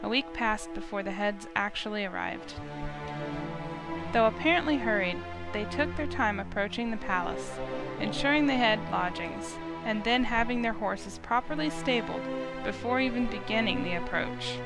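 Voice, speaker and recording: A woman's voice: mid-range voice, normal speed. A woman narrator reading scripted fantasy story narration. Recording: loud music under the voice. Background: loud music.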